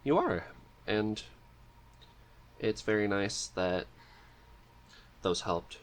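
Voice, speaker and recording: Low-voiced man hosting a casual interview. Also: animated, very slow. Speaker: podcast host.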